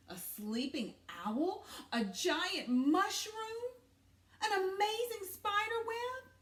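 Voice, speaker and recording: A woman reading a storybook aloud to children expressively, pausing to ask questions and comment. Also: a little high. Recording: roomy, echoey sound.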